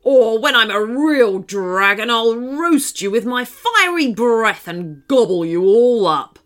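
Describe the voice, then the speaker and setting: A woman's voice: on the high side, animated, a bit bright. A woman voicing an evil chocolate dragon in a children's story, menacing and sneering as it draws out its words. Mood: furious. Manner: menacing, sneering, drawn-out delivery.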